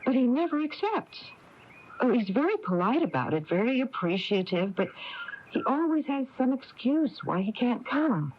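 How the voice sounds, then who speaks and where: Earnest small-town wife, very animated voice. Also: female voice, a little high, normal speed. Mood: earnest, worried. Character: earnest, worried married small-town woman. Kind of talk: 1980s radio drama acting. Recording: muffled noisy radio broadcast tape.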